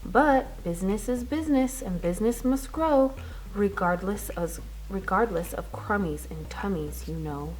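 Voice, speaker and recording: A woman reading a rhymed children's storybook aloud in an expressive, rhythmic way with dramatic emphasis. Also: medium pitch, unhurried, poor audio. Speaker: children's storybook reader, teacher.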